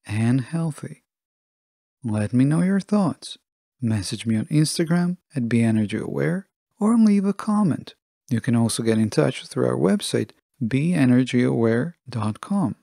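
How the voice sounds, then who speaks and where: A man hosting a podcast alone, reading scripted narration in a measured, deliberate manner. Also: mid-range voice, vocal fry, dark tone, no room sound, studio quality. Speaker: podcast host.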